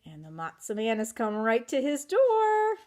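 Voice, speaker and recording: A woman reading a children's picture book aloud in an animated way, stopping to ask listeners questions. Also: a little high, unhurried. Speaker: children's storytelling teacher.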